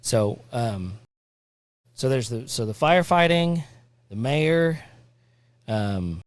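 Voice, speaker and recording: A man's voice: medium pitch, expressive, slow pace, no echo. A man hosting a casual podcast, encouraging his guest and rambling through his thoughts. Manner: encouraging, rambling, restarts often. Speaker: podcast host. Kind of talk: casual conversation.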